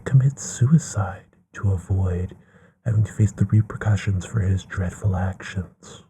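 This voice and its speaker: A man playing a courtly, bookish, gently affectionate vampire in an intimate ASMR bedtime roleplay, musing in a breathy hush that often slips into a whisper. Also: low-pitched, heavily acted. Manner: musing, genteel, unhurried with pauses.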